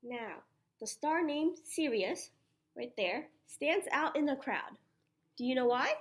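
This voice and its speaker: A woman preschool teacher reading a children's science picture book aloud, putting questions to her young listeners in an animated, encouraging way. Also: high-pitched, takes it slowly. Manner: child-directed, asks questions and answers them herself.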